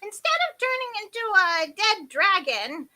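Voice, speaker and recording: A woman voicing a muddled, cheerful, half-deaf old fairy who exclaims loudly in a storybook tale. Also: very high-pitched, big pitch swings, unhurried, voice pushed up, exaggerated voice. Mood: muddled, excited. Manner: loud, exclaiming, mishearing.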